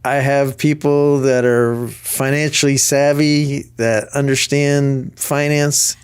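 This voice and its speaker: A man's voice: mid-range voice, flat delivery, takes it slowly, clear, resonant tone. A man talking reflectively on a podcast, telling what he has learned over the years. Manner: reflective storytelling. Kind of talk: casual podcast conversation.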